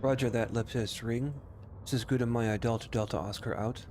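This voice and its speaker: A man's voice: mid-range voice, fairly even intonation, moderate pace, darkish tone, a little hiss or hum. A man giving casual commentary as he plays a space flight game, with short role-play voice commands.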